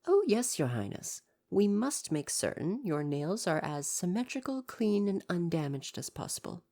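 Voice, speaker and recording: A man's voice: sits high, sing-song, normal speed, creaky, dark tone, heavily acted. A man playing a devoted, proper royal butler in an ASMR roleplay, speaking to the listener in a formal, deferential, fussily attentive way. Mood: attentive, reassuring.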